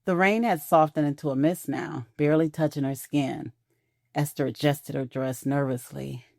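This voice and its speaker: A woman's voice: sits low, fairly even intonation, moderate pace, gravelly, a little mellow, no room sound, studio quality. A woman reading scripted narration for a romantic audio drama.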